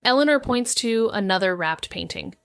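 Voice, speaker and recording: An actress playing a wry, bitter, paranoid amateur sleuth, narrating a noir audio drama in a sardonic, brooding way. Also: female voice, fairly high pitch, expressive, moderate pace, smooth, no room sound, studio quality. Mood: sardonic, intent.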